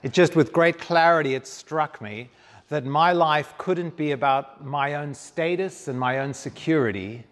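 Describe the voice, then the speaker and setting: A man's voice: fairly high pitch, unhurried, a bit bright. A man from Zambia, a guest speaker telling his life story with self-deprecating humor in an onstage interview. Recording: long hall reverb.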